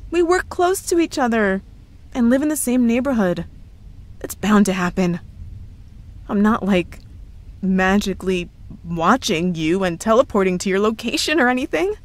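A woman's voice: mid-range voice, animated, normal speed, close to an everyday voice. A woman playing a cheerful, evasive young girlfriend who is secretly a witch, in a playful ASMR roleplay with feigned innocence. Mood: defensive, playful. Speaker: audio roleplay voice actress. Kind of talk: ASMR roleplay, scripted one-sided dialogue.

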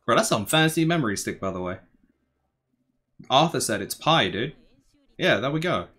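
A young man giving casual, slangy reaction commentary, musing aloud in a laid-back, tired, low-energy way. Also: very animated, moderate pace, sharp tone, breathy edge. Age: young adult. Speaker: anime reaction host. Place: small bedroom, indoors.

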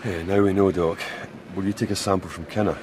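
Male actor as resolute polar expedition leader, low-pitched, commanding, clipped phrases, radio drama, noisy atmosphere. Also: fairly quick, natural voice. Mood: grim, controlled. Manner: terse, commanding. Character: grim, resolute man leading a trapped Antarctic research crew. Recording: noisy drama soundscape, steady ambience.

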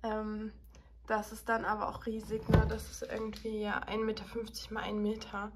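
A woman's voice: mid-range voice, monotone, moderate pace, very noisy. A young woman chatting casually to the camera as a vlogger at home, rambling a little with fillers. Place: apartment living room and kitchen.

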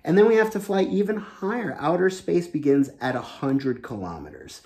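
A man's voice: on the high side, lively intonation, moderate pace, dark tone. A man in his thirties reading a children's book aloud, explaining it simply and enthusiastically in a room at home. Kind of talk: animated read-aloud storytelling with explanations. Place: small home room indoors.

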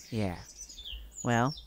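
A woman voicing a kindly, hesitant male neighbor in an ASMR roleplay, telling a story in a halting, folksy way. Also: very deep voice, a little mellow, a little hiss or hum, exaggerated voice. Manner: folksy, halting, sighing between thoughts.

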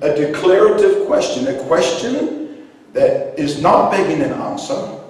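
Impassioned preacher in an echoey hall. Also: male voice, on the high side, sing-song, measured pace, far from the mic. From New Zealand, raised in Iceland. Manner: impassioned preaching, rising to shouts. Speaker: pastor. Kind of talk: sermon. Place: large church hall with high ceiling. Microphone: handheld mic, heard from a distance in the room.

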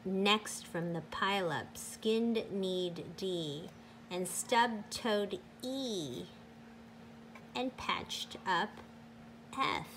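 A woman teacher reading a picture book aloud to children in a deliberate, sing-song, emphatic way. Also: medium pitch, fairly bright tone, slight background noise.

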